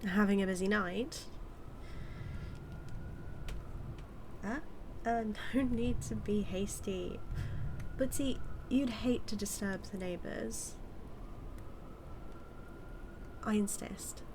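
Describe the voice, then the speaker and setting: A woman's voice: medium pitch, background noise, natural voice. A woman with a British accent acting as a smug female supervillain who mocks and teases her hero nemesis in a theatrical, drawling audio roleplay monologue. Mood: smug, teasing. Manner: mocking, theatrical, drawling with drawn-out words.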